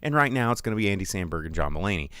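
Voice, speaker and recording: A man's voice: low voice, big pitch swings, racing, fairly bright tone, no room sound, studio quality. A man hosting a podcast, talking casually in a rambling, emphatic, exasperated rant. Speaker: podcast host.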